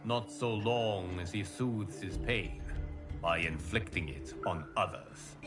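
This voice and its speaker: An actor playing a calm, solemn male fire god who briefs the player in a game cutscene, speaking in measured, formal words with music behind. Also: deep voice. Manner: measured, grave, formal diction. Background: game score music.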